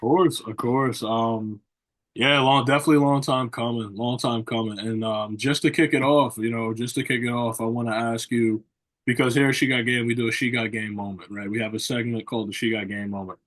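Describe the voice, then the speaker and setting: A man's voice: medium pitch, steady tone, brisk, a bit bright. A man hosting a sports interview show, asking long rambling questions with many repeated phrases and "you know" fillers. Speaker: podcast host.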